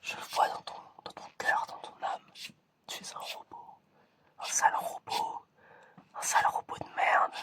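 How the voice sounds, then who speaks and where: A man doing an ASMR roleplay in a breathy, unvoiced whisper, addressing the listener directly and often repeating himself. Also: unhurried, fairly bright tone. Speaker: ASMR video creator playing an examiner.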